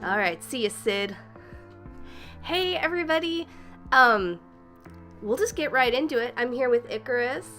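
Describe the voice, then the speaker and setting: A woman's voice: a little high, lively intonation, measured pace, long pauses, fairly bright tone, soft background music. A woman hosting a casual livestream, slightly stuffy from a cold, chatty and upbeat, greeting viewers by name and laughing often. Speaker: livestream host.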